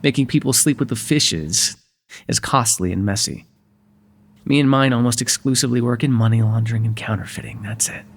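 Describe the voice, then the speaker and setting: A man's voice: mid-range voice, fairly quick, gravelly, slightly rough. A man playing a wry, courteous but exasperated mafia boss in an ASMR roleplay, sardonic and conversational in manner. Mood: wry, explaining.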